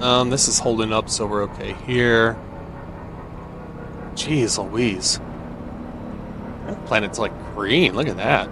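A man's voice: on the low side, takes it slowly, long pauses, background noise. A man giving casual gameplay commentary, thinking aloud and asking himself questions as he plays. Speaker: gamer playing and commenting on a video game.

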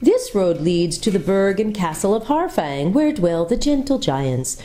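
A woman's voice: mid-range voice, very animated, a little slow, dark tone, voice pushed up. A woman voicing a lovely, charming lady in green who speaks sweetly and musically, trilling her R's. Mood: gracious.

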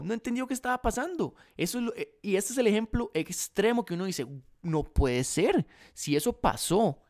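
A young man with a Costa Rican accent telling a story to camera in a casual vlog, animated and acting out both sides of the dialogue, close to a desk microphone in a room by a window. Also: on the high side. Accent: Costa Rican Spanish. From Costa Rica. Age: young adult. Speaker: dropshipping vlogger. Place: indoor room by a large window. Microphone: desk condenser mic in front of face.

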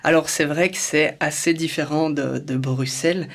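Man, twenties, from Belgium, slightly high voice, informal first-person talk to camera. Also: steady tone, normal speed, gravelly, fairly bright tone. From Brussels, Belgium. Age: 20s. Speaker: actor presenting himself. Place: indoor studio.

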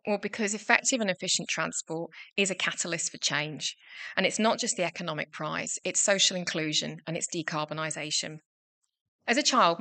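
A middle-aged woman opening a conference panel from a lectern, giving a prepared welcome speech in a steady, formal way. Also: lowish voice, no echo. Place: conference stage indoors. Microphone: lectern microphone.

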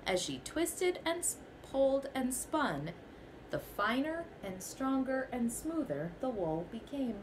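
Expressive young woman reading a picture book. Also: sits high, slow pace, background noise. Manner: expressive storytelling, first person as the goat. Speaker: teacher. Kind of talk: children's picture book read-aloud. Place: small bedroom, indoors.

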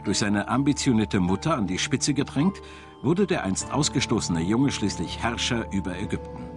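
Lively-pitched male German documentary narrator. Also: moderate pace. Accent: standard German. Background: background music.

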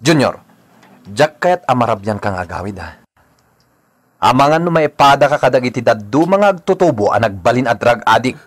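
A man's voice: mid-range voice, very animated, moderate pace. An actor playing a strict, stern father in a radio drama. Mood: angry scolding. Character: strict, stern father and eldest brother, Bugoy.

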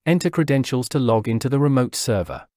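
A man narrating a scripted software tutorial, walking through each step in a steady, instructional way. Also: medium pitch, fast talker, no echo, studio quality. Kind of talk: scripted software walkthrough voice-over. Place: voice-over over screen recording.

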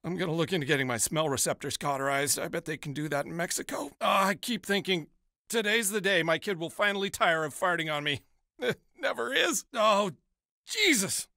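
A cartoon video game dad, with a slightly high voice that swings up and down expressively. He is middle-aged, put-upon and scatterbrained, rambling casually at his kid. The sound is dry and close. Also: expressive, fairly quick, vocal fry, pushed and bright, dry recording, heavily acted. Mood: exasperated, pleading. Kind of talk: scripted video game voice lines.

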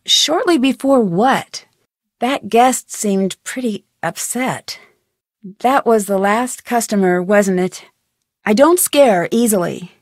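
A woman's voice: fairly high pitch, lively intonation, slow pace, no room sound, clean studio sound. An actress playing a curious, polite and determined young female detective in scripted, conversational video game dialogue.

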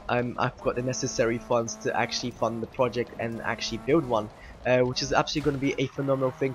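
A young man with a hoarse, fading voice gives a chatty channel update, filling his talk with ums and "guys". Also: medium pitch, a little hiss or hum. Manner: chatty, many fillers, keeps saying "guys". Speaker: YouTube gaming channel host. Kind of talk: casual vlog update over game footage.

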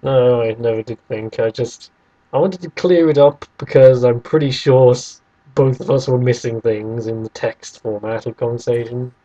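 A man's voice: mid-range voice, steady tone, normal speed, warm tone, muffled recording. A young man hosting a casual podcast chat about games, teasing and sarcastic. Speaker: podcast host.

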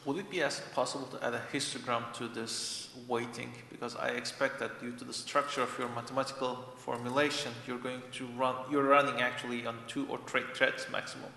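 A man's voice: mid-range voice, flat delivery, fairly quick, hardly any pauses, bright, forceful tone. A man in the audience putting a technical point analytically, in spontaneous speech. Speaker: audience member asking a question. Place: large tiered lecture hall. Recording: echoey hall, faint noise, audience microphone.

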